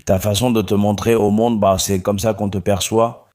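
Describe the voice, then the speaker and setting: A man from Morocco, a guest in an interview, speaking calmly and often repeating his phrases. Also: on the low side, normal speed. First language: Arabic. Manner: calm, repeats himself. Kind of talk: interview conversation.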